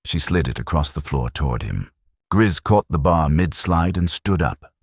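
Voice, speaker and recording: A man narrating a science fiction audiobook in a dramatic, measured delivery. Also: extremely low pitch, lively intonation, slightly rough, dry recording, clean studio sound.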